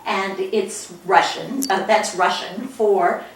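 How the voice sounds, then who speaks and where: An older woman with an American accent gives an informal book talk as a guest author, speaking haltingly with frequent pauses mid-sentence. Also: mid-range voice, steady tone. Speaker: guest author and former newspaper editor. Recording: echoey room, distant mic, background noise.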